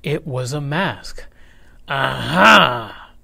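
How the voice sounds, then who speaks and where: A man reading a children's picture book aloud in an expressive, measured, dramatic way. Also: mid-range voice. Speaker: read-aloud storyteller.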